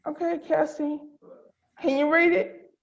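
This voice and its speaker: A woman's voice: high-pitched, little pitch movement, measured pace. A woman hosting a table read in casual chat over a video call. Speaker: organizer and host of table read. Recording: dull lo-fi video call audio.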